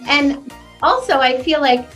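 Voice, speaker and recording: A chatty woman florist in her forties tells her story with a young-sounding, slightly high voice. She talks in an animated, easy way. Soft music plays behind her. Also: a little slow. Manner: chatty and animated, laughs easily. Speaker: florist and business owner. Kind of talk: conversational interview.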